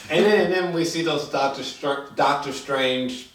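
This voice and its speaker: A man chatting casually about a movie in an excitable, halting, profanity-laced way, repeating himself as he goes. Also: fairly high pitch. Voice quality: slangy, profane speech. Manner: excitable, halting, repetitive storytelling. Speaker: movie reviewer. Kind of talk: casual unscripted movie chat. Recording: roomy echo, distant mic.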